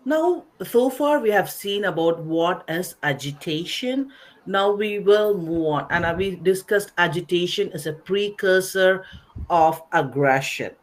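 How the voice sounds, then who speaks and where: A woman presenting a slide-based nursing lecture, explaining in short phrases with pauses in a didactic way. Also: fairly low pitch, expressive. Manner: didactic, recapping, pausing between short phrases. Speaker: webinar presenter, nursing educator.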